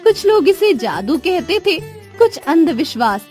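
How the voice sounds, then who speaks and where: A woman's voice: very high-pitched, sing-song, unhurried, darkish tone. A woman narrating a scripted children's moral story. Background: music.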